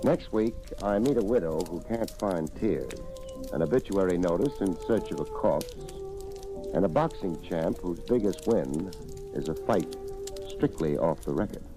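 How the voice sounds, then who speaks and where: An actor playing a shrewd, wry private investigator in an old-time radio detective drama. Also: male voice, low-pitched, big pitch swings, over music. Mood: wry teaser. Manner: wry, knowing, deliberate.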